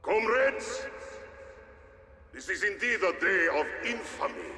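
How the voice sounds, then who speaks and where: A man's voice: high voice, sharp tone. A man playing a pompous, vengeful Soviet military commander, declaiming a menacing public oration in a video game. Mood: solemn. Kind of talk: funeral oration. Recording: echoey reverberant public address, noisy.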